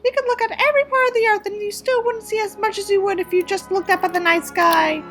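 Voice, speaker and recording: A woman voicing a sweet, gushing teenage girlfriend in a squeaky, playful story reading. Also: steady tone, fast, a bit bright, soft background music. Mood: dreamy, teasing. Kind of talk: mocking dramatic reading of a story.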